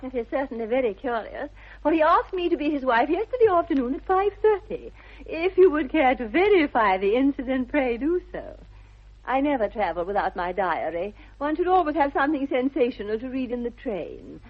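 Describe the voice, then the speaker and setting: Imperious young society lady, upper-class English, high swooping voice, affected precise diction, vintage radio play. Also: big pitch swings, a little fast. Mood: icily polite. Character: poised, haughty young aristocratic lady, grandly self-assured. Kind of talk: 1960s radio comedy of manners acting. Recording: dull vintage 1960s radio recording.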